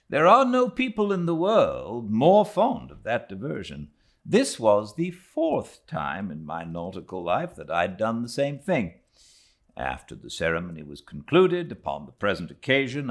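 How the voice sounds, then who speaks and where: Deep, expressive British male audiobook narrator. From Britain. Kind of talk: dramatic literary narration.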